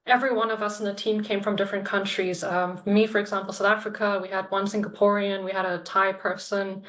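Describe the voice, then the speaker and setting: A young woman who grew up in China, talking earnestly on a video call about her experience as a student, with a steady explanatory manner. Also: mid-range voice, fairly quick. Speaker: programme lead sharing her experience. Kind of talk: webinar talk.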